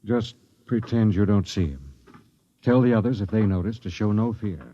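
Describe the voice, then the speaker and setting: Man playing a low-voiced, stern space patrol captain. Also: dark tone, muffled recording, slight background noise, natural voice. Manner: authoritative, measured. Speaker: captain and narrator. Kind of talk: 1950s radio drama acting. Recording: dry, band-limited 1950s radio recording.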